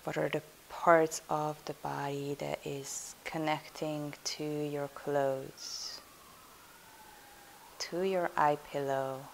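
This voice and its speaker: A woman leading a guided yoga nidra meditation as a teacher, in a soothing, hushed, unhurried manner. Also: sits low, vocal fry. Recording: steady hiss.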